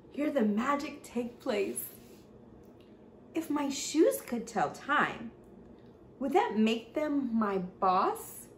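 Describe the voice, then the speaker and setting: Casting: young woman, children's storyteller, very lively intonation, unhurried sing-song delivery, roomy sound. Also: fairly high pitch. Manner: animated, sing-song, drawn-out emphasis on words. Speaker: children's read-aloud host. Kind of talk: expressive picture-book reading for children. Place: small indoor room with bookshelf. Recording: roomy echo, faint background noise.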